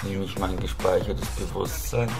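A man's voice: sits low, steady tone, moderate pace, creaky. A man narrating a scripted, dramatic monologue and story as a storyteller. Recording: loud music over the voice.

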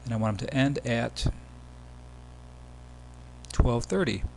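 A man giving a casual, step-by-step software tutorial as the presenter of a screen demo. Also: mid-range voice, slow pace, gravelly, rough recording. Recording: background hum and noise.

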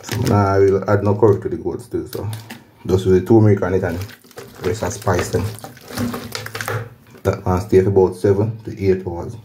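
A man's voice: sits low, flat delivery, normal speed, pauses often. A man with a Jamaican accent casually narrating his cooking as host, often repeating phrases and tags. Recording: clear background noise, pot sizzling and clatter.

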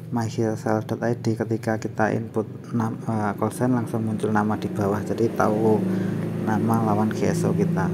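A man presenting an unscripted step-by-step software tutorial in a halting, instructional manner, often adding little confirming tags. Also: on the low side, vocal fry. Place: voice-over on a screen recording. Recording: faint background noise.

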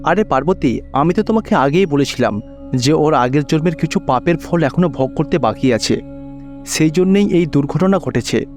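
Man voicing benevolent male deity, high voice, calm, reassuring, cartoon myth, music behind. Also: moderate pace, natural voice. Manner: reassuring, explanatory.

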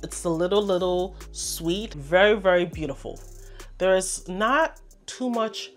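Female vlogger, lower register, nasal and a bit hoarse from a cold, enthusiastic chatty reviewer, faint music bed. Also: unhurried. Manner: chatty, enthusiastic, addresses viewers as "you guys". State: congested and a little hoarse from a cold. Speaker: fragrance reviewer. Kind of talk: casual product review to camera.

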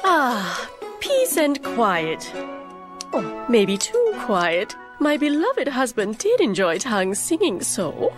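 Casting: imperious cartoon lady of the house, theatrical, swooping high voice, music behind. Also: wildly swooping pitch, full character voice. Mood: musing. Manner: grand, melodramatic, drawn-out. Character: haughty, dramatic Chinese magistrate's wife. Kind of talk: animated children's cartoon acting.

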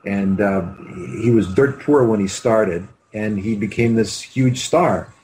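A man's voice: lowish voice, slow pace, darkish tone, a little hiss or hum, rough recording. A man, a film director reminiscing in an interview in a hesitant, rambling way over a video call. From Canada. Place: home room with bookshelves. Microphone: computer mic on video call.

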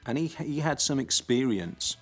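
A man telling the story of his band in a documentary interview, in a measured way. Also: mid-range voice, expressive, husky, soft background music. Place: dark studio set.

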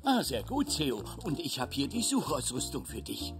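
Ein Mann, der in einer Zeichentrickserie einen freundlichen, verspielten alten Zebra-Großvater mit ermutigender, ausdrucksvoller Art spielt. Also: male voice, on the high side, normal speed. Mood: helpful. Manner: encouraging, expressive. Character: kindly, playful old grandfather zebra. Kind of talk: animated children's cartoon dub. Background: background music.

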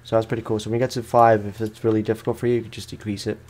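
A man's voice: on the low side, level tone, very fast, a little mellow. A man giving casual, rambling, slangy commentary as he plays a video game for his viewers. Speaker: gaming streamer. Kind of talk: casual let's play commentary.

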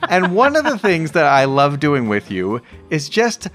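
A man's voice: a little high, wildly swooping pitch, measured pace, fairly bright tone, faint music behind. A man hosting a podcast, talking in a conversational introductory style. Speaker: podcast host.

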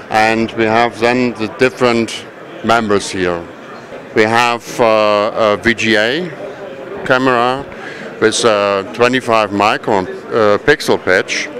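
Slow-paced man at a busy fair. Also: lowish voice. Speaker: company representative presenting products. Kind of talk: trade show product presentation. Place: large indoor trade fair hall. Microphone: handheld microphone at chest height. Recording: busy exhibition hall crowd noise. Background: crowd murmur.

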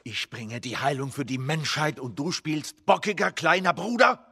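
An actor playing a gruff, weary middle-aged male survivor in a video game, terse and stubborn, with a husky voice. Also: on the high side, normal speed, pushed and bright, in a large hall, heavily acted. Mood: angry, exasperated. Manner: terse, insistent, easily exasperated. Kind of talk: dramatic video game acting.

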